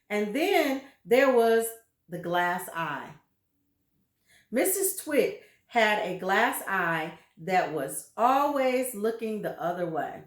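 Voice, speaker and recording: A woman reading a children's story aloud with deliberate, dramatic emphasis and brief asides to her listeners. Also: medium pitch, roomy sound.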